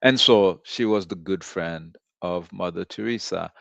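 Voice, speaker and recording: A man from Haiti hosting a commentary monologue, speaking deliberately with frequent pauses and fillers. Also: deep voice, vocal fry, fairly bright tone, dry recording, lo-fi sound. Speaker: host of a commentary show. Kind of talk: slow reflective commentary monologue.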